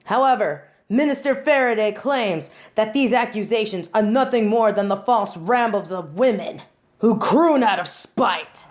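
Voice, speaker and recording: Woman playing a haughty angel judge, slow and commanding. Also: medium pitch, close to an everyday voice. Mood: formal, imperious. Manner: pompous, formal, theatrical. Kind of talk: scripted audio roleplay.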